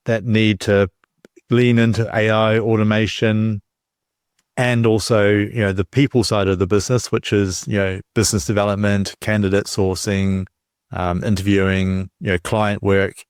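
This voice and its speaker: A man's voice: lowish voice, smooth, no echo, studio quality. A man hosting an interview podcast conversationally, discursive and rephrasing his points as he goes.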